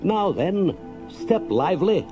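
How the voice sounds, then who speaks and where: A man voicing a brisk, friendly, excitable water rat in a children's storybook, with hearty, chatty gentlemanly talk. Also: high voice, muffled recording, music in the background, pitched above the performer's own voice, exaggerated voice. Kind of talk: children's storybook character reading.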